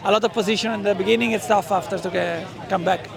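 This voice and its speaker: A man racer giving a press day interview outdoors, speaking haltingly and searching for words. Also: high-pitched, fairly even intonation, creaky. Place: outdoors at a race track. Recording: outdoor crowd noise.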